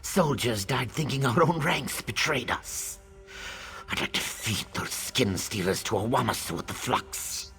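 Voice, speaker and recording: A lizardfolk scout in a fantasy video game, earnest and a little cocky. He speaks slowly in a gravelly, creaking voice, with music playing clearly behind him. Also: medium pitch, animated, fairly bright tone. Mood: alarmed, urgent. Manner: tags sentences with a questioning "yes?". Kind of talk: video game character dialogue.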